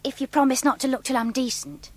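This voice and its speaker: A woman's voice: sits high, little pitch movement, fast, darkish tone, no echo. An actress playing a prim, coy young girlfriend who dreams of marriage. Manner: coy, prattling.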